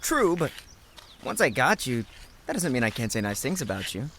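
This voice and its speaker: A man's voice: medium pitch, very animated, normal speed, a bit bright, a little hiss or hum. A man playing an earnest, devoted young student in an audio drama. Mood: sincere, easygoing. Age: young adult.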